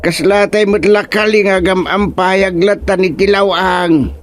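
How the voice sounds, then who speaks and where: A man's voice: high voice, fairly even intonation, measured pace, a little hiss or hum. A male actor playing a jittery, puzzled village sidekick in an Ilocano radio comedy drama, exclaiming and questioning theatrically with an Ilocano Filipino accent. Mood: bewildered.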